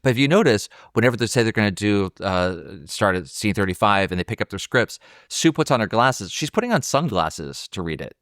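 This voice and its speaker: A man's voice: fairly low pitch, big pitch swings, brisk, a bit bright, dry recording, studio quality. A man co-hosting a film podcast, casually analysing scenes as he thinks aloud. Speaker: podcast co-host discussing a film.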